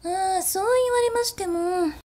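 A zombie bunny flight attendant, acted by a woman for an ASMR audio drama. The voice is high and mellow. The service talk is soft, formal and politely teasing. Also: moderate pace. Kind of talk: Japanese ASMR situation voice drama.

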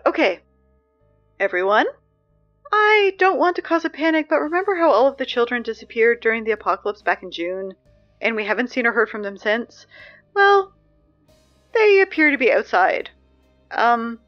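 Woman playing a school announcer, chatty, flustered, higher voice, slightly dull sound. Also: expressive, moderate pace, long pauses, soft background music, close to an everyday voice. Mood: anxious. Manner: chatty, growing flustered and panicky. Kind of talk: audio drama acting.

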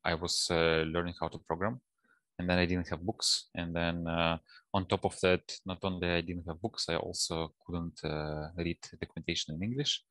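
A man in his thirties, a native Russian speaker with a Russian accent, talking casually and haltingly as an interview guest over a headset. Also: low-pitched, flat delivery, fairly bright tone, dry recording. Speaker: guest, data science professional. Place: office, indoors, on a video call.

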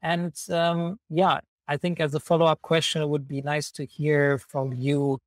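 Halting young man hosting, dry sound. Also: a little high, little pitch movement, no echo. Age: young adult. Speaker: host, volunteer coordinator. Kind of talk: hosting an online panel interview. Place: indoor room, video call. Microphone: wired earphones on video call.

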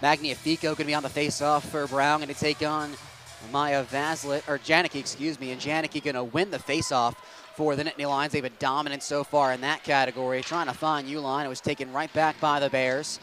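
A young man calling ice hockey play-by-play with rapid, steady narration that rises to excited shouts on goals, on a headset mic in an arena booth. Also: on the high side, a little hiss or hum. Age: young adult. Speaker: play-by-play announcer.

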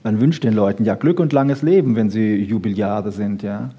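Husky, mellow man giving a lecture. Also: fairly low pitch, moderate pace, highs cut off. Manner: emphatic, with rhetorical questions and frequent "ja" checks. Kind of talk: popular science lecture to an audience, freely spoken.

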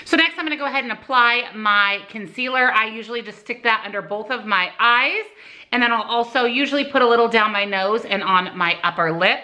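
A woman's voice: fairly high pitch, brisk, sharp tone, faint music behind. A woman in her forties chatting casually at home while doing her makeup and answering viewer questions. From United States. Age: 40s. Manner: friendly and talkative while applying makeup. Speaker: YouTuber and real estate agent. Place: home kitchen indoors. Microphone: camera on a tripod close in front.

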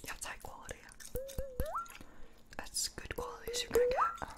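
A young woman whispers in an ASMR personal-attention roleplay. Her voice is breathy and almost entirely unvoiced, right up close to the mic. She rambles nonchalantly in halting little fragments, playing a makeup artist. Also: very high voice, wildly swooping pitch. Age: 20s. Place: small room at home, indoors. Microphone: close mic, facing camera.

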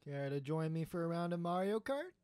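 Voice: mid-range voice, big pitch swings, fairly quick, darkish tone, no echo, clean studio sound, natural voice. An actor playing an earnest, hesitant astronaut trying to win back an old friend in an audio drama. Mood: friendly, nostalgic.

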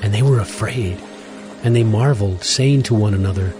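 Low-voiced male scripture narrator over music. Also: normal speed.

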